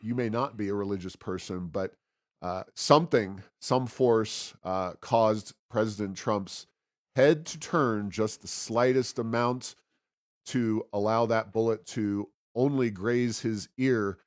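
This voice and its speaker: A man hosting a podcast, giving conversational political commentary with frequent pauses mid-sentence. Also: on the low side, dry recording, clean studio sound. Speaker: podcast host.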